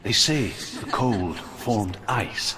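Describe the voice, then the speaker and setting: Low, expressive male myth storyteller. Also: background noise. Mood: solemn storytelling. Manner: measured, theatrical, expressive. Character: earnest male storyteller of old Norse myths. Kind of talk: dramatic oral storytelling.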